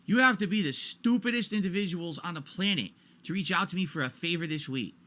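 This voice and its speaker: A man's voice: sits high, brisk, sharp tone. A man in his forties ranting during a stream, exasperated and repetitive, hammering his points home. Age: 40s.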